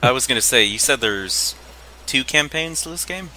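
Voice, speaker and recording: A man's voice: medium pitch, expressive, moderate pace, sharp tone. A man chatting casually as a co-commentator on a video game playthrough. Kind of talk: casual gameplay commentary chat.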